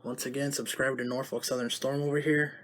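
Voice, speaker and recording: A man hosting a home vlog about weather radio tests, talking casually and loosely with halting restarts. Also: mid-range voice, little pitch movement, a bit bright. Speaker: YouTube host recording radio tests.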